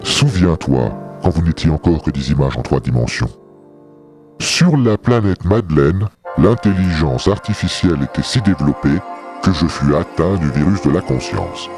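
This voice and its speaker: A man's voice: extremely low pitch, moderate pace. A man voicing a solemn male artificial intelligence who recounts a tale of origins in a grave, storytelling manner. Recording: music bed behind.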